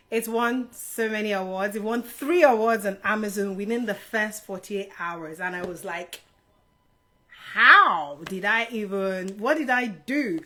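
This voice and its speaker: Casting: middle-aged Nigerian-born woman, livestream host, mid-pitched voice, informal and chatty, speaking straight to viewers. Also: bright, forceful tone. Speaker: live stream host, HR career adviser. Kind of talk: casual live stream Q&A chat to viewers.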